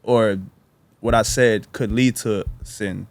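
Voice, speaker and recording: A man's voice: lowish voice, fairly even intonation, dry recording. A man in his twenties co-hosting a podcast, talking casually and reflectively, rambling with lots of fillers and restarts. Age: 20s. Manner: rambling, halting, many fillers and restarts, says bro. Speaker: podcast co-host.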